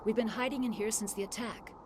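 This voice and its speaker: A woman's voice: on the high side, steady tone, fast talker, background noise, natural voice. A woman voicing a shaken middle-aged female lead scientist who explains things earnestly and apologetically.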